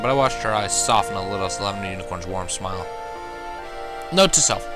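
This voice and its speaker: A man narrating a first-person fan fiction story, reading expressively as an amateur. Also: fairly low pitch.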